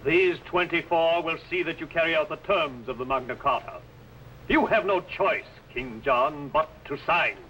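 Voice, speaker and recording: Solemn, fairly high-voiced medieval statesman. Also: lively intonation, normal speed, pushed and bright. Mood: firm. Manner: declamatory, stately. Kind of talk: 1950s television historical acting. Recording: slightly noisy old TV soundtrack.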